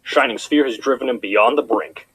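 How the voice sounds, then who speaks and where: A man's voice: medium pitch, moderate pace, a bit bright, slightly rough, no room sound, poor audio. A man voicing a scheming cartoon pony royal guard, indignant and dramatic, with formal, insistent speech. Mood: feigned concern. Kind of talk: fan cartoon voice acting.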